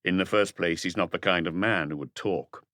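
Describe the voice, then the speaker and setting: A man voicing a shrewd police detective in an audiobook, speaking in a quiet, casual, measured way. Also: sits low, no echo, clean studio sound. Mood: quiet, wry. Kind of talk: audiobook character dialogue.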